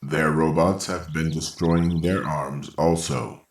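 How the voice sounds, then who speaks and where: Man playing a deep, slow, echoing robot. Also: fairly even intonation, heavily acted. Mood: calm, explanatory. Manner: measured, formal, matter-of-fact. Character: calm, logical A-class robot caretaker, unshakeably composed. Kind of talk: old-time radio science fiction acting. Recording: roomy, echoing voice.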